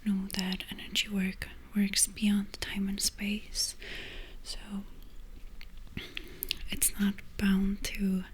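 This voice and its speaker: A woman whispering softly as she guides an ASMR energy-healing session in a loose, chatty way. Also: mid-range voice, monotone, very slow, pauses often, slight background noise. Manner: soft unvoiced whisper, loose and chatty with many fillers. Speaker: energy healer and ASMR creator.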